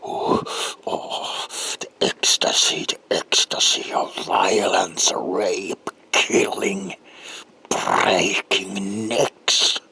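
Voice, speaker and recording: Evil victorian monster man for horror asmr, raspy whisper thats sharp and hissy, really slow n sadistic. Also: low voice, steady tone, exaggerated voice. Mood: ecstatic cruelty. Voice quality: whispery, creaky, breathy rasp. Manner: menacing, slow, theatrical, swinging from whispers to outbursts. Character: sadistic, gloating monstrous alter ego, male Victorian villain.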